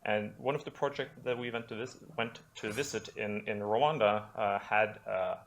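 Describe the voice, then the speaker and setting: A man's voice: on the low side, moderate pace, a bit bright, highs cut off. A man answering at a seminar panel about his program, rambling a little and correcting himself as he goes. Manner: rambling, self-correcting, laughs lightly.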